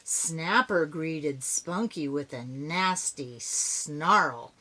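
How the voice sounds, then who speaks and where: A woman's voice: sits low, lively intonation, extremely slow, bright, forceful tone, low-quality recording. An older woman reading a children's story aloud expressively, adding chatty asides to her young listeners. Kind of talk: animated read-aloud storytelling.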